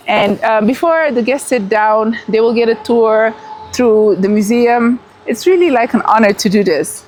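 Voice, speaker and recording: A woman in her thirties, raised in the Netherlands, narrating a casual travel vlog outdoors at an event venue, chatty and excited, with fillers and restarts. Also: on the high side. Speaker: vlogger.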